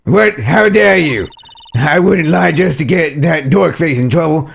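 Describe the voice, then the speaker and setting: A man's voice: fairly high pitch, animated, unhurried, gravelly, breathy edge, voice pushed up, full character voice. A man voicing a cocky, defensive ventriloquist's puppet testifying as a witness in a courtroom game. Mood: indignant.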